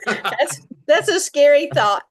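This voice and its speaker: Female co-host, high voice, slow unhurried pace, casual conversational delivery. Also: lively intonation. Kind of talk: casual chat in an online interview.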